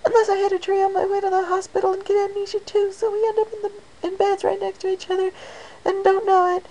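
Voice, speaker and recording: A woman's voice: extremely high pitch, little pitch movement, quick pace, mellow, voice pushed up, rough recording. A woman voicing a bubbly, scatterbrained young female pony who rambles breathlessly in run-on sentences. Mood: giddy excitement.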